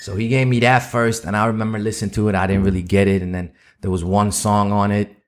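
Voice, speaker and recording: A man's voice: lowish voice, level tone, fast talker. A man raised in the Netherlands whose first language is Dutch, telling stories in a rambling, laid-back way as a podcast guest. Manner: laid-back, rambling storytelling with many fillers. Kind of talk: casual interview chat.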